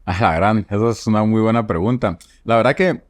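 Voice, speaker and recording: A man from Guatemala with a Guatemalan accent, a surgeon speaking as a podcast guest, reflective and measured with frequent fillers. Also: fairly low pitch, no echo. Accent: Guatemalan Spanish. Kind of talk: podcast interview.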